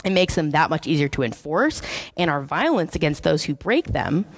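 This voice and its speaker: A woman's voice: low voice, big pitch swings, normal speed. A woman preacher, giving a sermon in a church in a conversational, wry, self-deprecating way. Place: church sanctuary.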